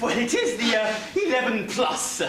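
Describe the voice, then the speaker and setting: A man's voice: very high voice, sing-song, moderate pace, a crowd in the background. Wildly swooping English pantomime dame played by a man. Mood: apologetic, cheery. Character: scatty, cheerful motherly pantomime dame schoolteacher. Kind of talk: amateur stage pantomime acting. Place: theatre stage. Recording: echoey noisy theatre, distant microphone.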